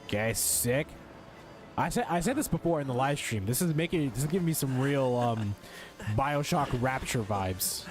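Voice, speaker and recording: A man's voice: mid-range voice, expressive, moderate pace. A man giving casual, slangy, joking gaming commentary as he streams a story quest. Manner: slangy, joking, reads on-screen text aloud. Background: game music.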